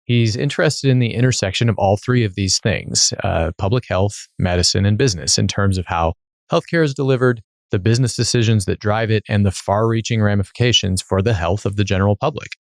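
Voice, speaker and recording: A man's voice: lowish voice, fairly quick, vocal fry, dry recording, studio quality. A man hosting a podcast, reading a guest introduction and asking short questions. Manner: reads the introduction, then short prompts. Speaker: podcast host. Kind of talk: scripted intro then interview.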